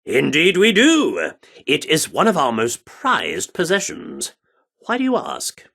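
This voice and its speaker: Flamboyant, slow-spoken theatre director. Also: male voice, fairly high pitch, huge pitch swings, creaky, sharp tone, full character voice. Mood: earnest, courteous. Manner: grandiloquent, archaic flourishes, dramatic pauses. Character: flamboyant, self-important male theatre director. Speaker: video game character voice actor. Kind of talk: theatrical video game dialogue.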